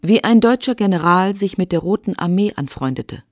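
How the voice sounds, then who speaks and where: An actress playing a formal announcer in a radio play, factual and official in delivery. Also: female voice, medium pitch, expressive, fairly quick, faint music behind, natural voice. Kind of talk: radio play documentary reading.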